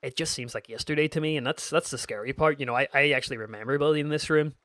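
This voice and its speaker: A man's voice: fairly high pitch, fast talker, a bit bright, no room sound. Northern Irish man, rambling gaming vlogger. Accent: Northern Irish with a slight American twang. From Northern Ireland. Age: 30s. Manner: meandering and reminiscent, frequent restarts. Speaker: gaming YouTuber. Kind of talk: casual gameplay commentary.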